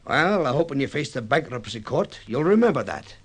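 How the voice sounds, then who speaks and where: Man playing a blunt, bitter sea captain, animated delivery. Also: mid-range voice, moderate pace, heavy background noise, lightly acted. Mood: scornful, triumphant. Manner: forceful, accusing, emphatic. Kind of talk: 1950s radio drama acting.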